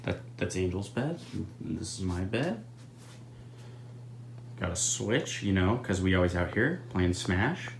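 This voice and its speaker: A young man giving a laid-back, deadpan tour of a vacation house on a phone camera. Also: low-pitched, a little slow, roomy sound, slight background noise. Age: young adult. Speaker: vlogger. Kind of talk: casual tour-guide vlog chat.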